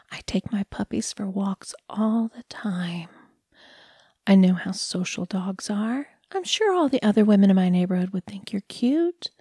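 A woman's voice: medium pitch, warm tone, breathy edge, no room sound, studio quality. A woman voicing a sugary, condescending woman who trains human pets, in half-whispered, patronizing baby talk.

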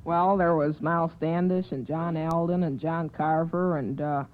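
A man's voice: on the high side, steady tone, unhurried. A young male panelist answering questions on an old-time radio quiz show. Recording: thin, tinny old radio recording.

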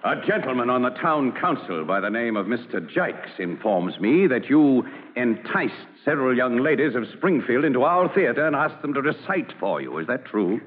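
A man's voice: mid-range voice, very animated, moderate pace, natural voice. A severe, reproachful father voiced by a man speaks formally with strong swings of intonation. It is vintage radio acting, echoey, tinny and hissy. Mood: stern. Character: stern, reproachful father, head of theatre company. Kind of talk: old-time radio drama acting.

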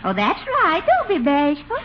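A coquettish Southern belle. Her high voice lilts sweetly and gushes in the style of old radio comedy. The recording is muffled and clearly noisy. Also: huge pitch swings, moderate pace. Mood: playful, coaxing. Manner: gushing, sweetly flirtatious. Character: coquettish, gushing Southern belle being courted. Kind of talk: 1940s radio comedy acting.

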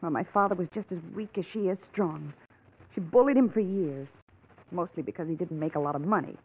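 A woman's voice: lowish voice, a little fast, slightly rough. An actress playing a desperate, earnest young farm woman in a 1940s radio drama, pleading urgently for help. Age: young adult. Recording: narrow-band old radio, faint noise.

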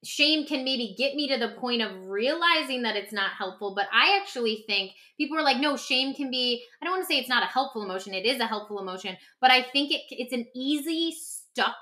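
Bright, high-voiced woman, emphatic podcast talker. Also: lively intonation, a little fast, few pauses. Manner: emphatic, acts out example dialogue. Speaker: podcast guest and author discussing their own book. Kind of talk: animated conversational self-help talk.

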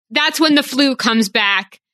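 A bubbly young woman in her twenties chatting on a podcast, with a bright, forceful voice pitched a little high. She talks in quick bursts and laughs often, recorded dry and close with no room sound. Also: no room sound. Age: 20s. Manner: bubbly, laughs a lot, fast bursts of talk. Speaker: podcast co-host. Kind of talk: casual chat.

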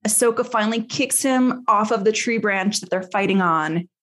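A woman's voice: mid-range voice, normal speed, fairly bright tone. Female podcast host, mid-range voice, chatty and playful, retelling episodes in slangy conversational storytelling. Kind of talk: lively episode recap in casual chat.

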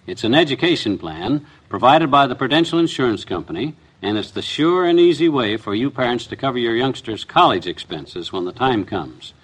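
An actor playing a blustering, folksy middle-aged husband in a 1950s radio comedy. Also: male voice, mid-range voice, animated, brisk, few pauses, fairly bright tone, slightly rough. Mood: earnest. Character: excitable, forgetful middle-aged husband with a big appetite.

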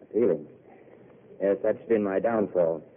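An actor playing a weary, self-mocking intellectual who speaks in a wry, eloquent way, heard on a tinny old radio broadcast. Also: male voice, sits low, a little slow, a little hiss or hum. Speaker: wandering failed writer. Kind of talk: 1930s radio drama acting.

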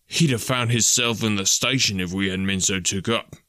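A man's voice: fairly low pitch, normal speed, pushed and bright, dry recording. A man voicing a simple, wary police constable from a storybook, grumbling in uneducated dialect phrasing. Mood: aggrieved.

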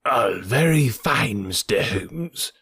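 An old professor caught in a lie, hiding his fear behind mocking laughter, as a male storyteller puts him on. His voice is gravelly and rough. The sound is close and dry. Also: fairly low pitch, animated, takes it slowly, fairly bright tone, no room sound, full character voice. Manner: mocking, defiant.

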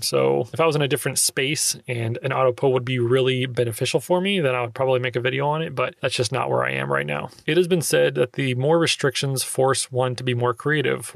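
A man's voice: mid-range voice, gravelly, no room sound. A young man with a slightly nasal voice hosts a casual solo podcast, answering listener questions in a loose, filler-heavy way. He records in a small sound-treated closet on a handheld recorder held close.

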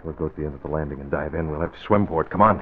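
An American actor playing a decisive, commanding young masked crime fighter in an old radio serial. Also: male voice, rumbling low, rapid-fire. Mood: urgent. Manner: urgent, authoritative, decisive. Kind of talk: 1940s radio acting. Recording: muffled, noisy old radio recording.

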